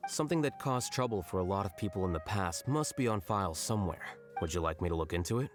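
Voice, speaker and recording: An actor playing a cool, logical young male scholar in a video game, measured and detached, over faint music. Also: low-pitched, lively intonation. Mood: matter-of-fact.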